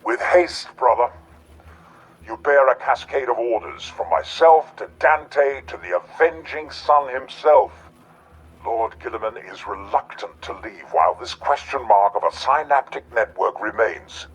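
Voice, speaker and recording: A curt commander over radio. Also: male voice, mid-range voice, animated, unhurried, poor audio. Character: stern male commander sending orders over vox.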